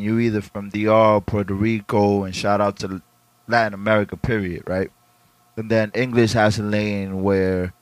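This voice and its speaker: A man's voice: fairly low pitch, no echo. A man from the Dominican Republic, a guest rapper in a casual podcast interview, talking reflectively and searching for words with restarts.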